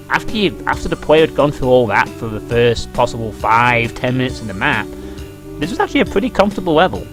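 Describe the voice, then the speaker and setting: A man giving casual video game commentary, thinking aloud in a musing, dryly critical way. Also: medium pitch. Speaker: let's play host. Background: music behind the voice.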